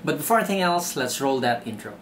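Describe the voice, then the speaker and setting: A man's voice: fairly high pitch, animated, fast, fairly bright tone, faint music behind. A young man with a Filipino accent speaking casually to camera as host of a reaction channel. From Philippines. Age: young adult. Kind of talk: casual vlog commentary to camera. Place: indoors by a brick wall.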